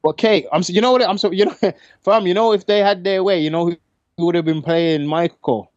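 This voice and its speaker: A man with a London accent arguing in casual podcast debate, using heavy slang in an animated manner. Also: high voice, fairly quick, no room sound, lo-fi sound. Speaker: podcast co-host.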